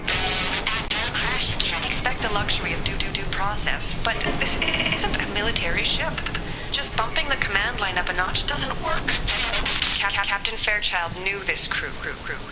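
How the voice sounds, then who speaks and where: A disgruntled, sceptical crewwoman in a sci-fi game log. Her mid-pitched, measured delivery skips and stutters with glitches. Loud music runs behind it. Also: lively intonation, rough recording. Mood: doubtful, weary. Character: disgruntled stranded crew member recording a complaint log. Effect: glitchy stuttering recording. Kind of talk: video game voice acting.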